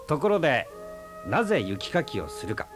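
An actor playing a calm, teasing man who lectures in a relaxed way about talk and existence, in a radio drama. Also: mid-range voice, moderate pace, over music, lightly acted. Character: easygoing philosophical man, fond of debate. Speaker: storyteller and friend of the aunt.

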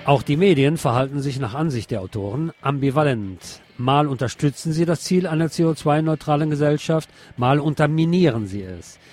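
A man's voice: on the high side, animated, normal speed, hardly any pauses, over music. A man reading scripted news as a radio host. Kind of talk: scripted news narration over music.

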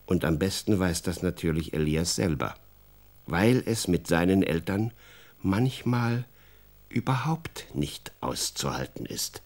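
A man's voice: sits low, lively intonation, unhurried, darkish tone. A man narrating a scripted children's story, addressing his young listeners directly as a storyteller.

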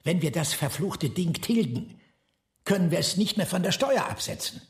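Radio drama role: indignant eccentric man, emphatic and scornful, swooping pitch with a gravelly edge. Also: expressive, moderate pace. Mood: grave, sardonic. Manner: ranting, emphatic, bitingly scornful. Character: cantankerous, indignant eccentric brother ranting at the world.

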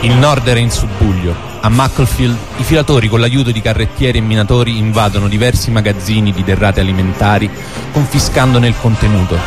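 A man's voice: lowish voice, a little fast. A man narrating a historical radio drama from a script. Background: music under the voice.

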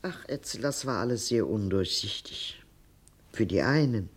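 An old grandmother in a German radio drama, with a very lively, swinging intonation. She is fond yet discreet, and muses hesitantly as she searches her memory. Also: rumbling low, moderate pace, husky, exaggerated voice. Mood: reminiscing. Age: older. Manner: hesitant, musing, trailing into recollection. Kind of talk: German radio play acting.

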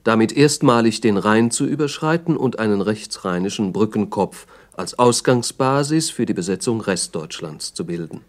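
A man's voice: fairly low pitch, expressive, normal speed, hardly any pauses. A man narrating a 1960s German radio play in a formal, factual, scripted documentary style with emphatic listing.

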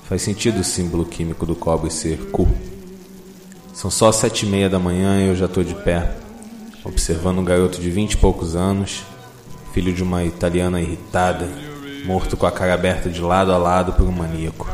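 Man playing a cynical noir detective, very low voice, Brazilian accent, blunt and weary, audio drama. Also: flat delivery, brisk, a little mellow, roomy sound, soft background music, close to an everyday voice. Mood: bitter, sardonic. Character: jaded, cynical, foul-mouthed male police detective.

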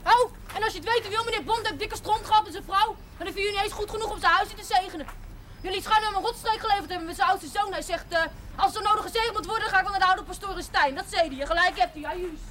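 Brief: brash young Dutch miner's daughter, cheeky, rapid-fire talk, faint hiss. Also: female voice, very high-pitched, sharp tone. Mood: indignant. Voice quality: brash, cheeky, quick-tongued. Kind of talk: 1970s TV drama acting.

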